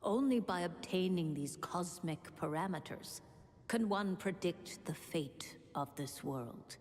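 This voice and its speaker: A woman voicing an old witch mentor in a video game, reading a letter in a deliberate, lofty, scholarly manner. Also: lowish voice, animated, a little mellow. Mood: solemn, instructive. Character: old witch mentor, measured, cryptic and mocking. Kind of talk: dramatic letter narration. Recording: long reverb on voice. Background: game music.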